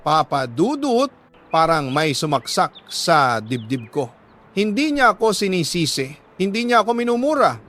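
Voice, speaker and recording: Higher-pitched Filipino man narrating dramatically. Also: very animated, moderate pace, fairly bright tone. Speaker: storyteller reading a listener's letter. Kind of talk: dramatic scripted story narration.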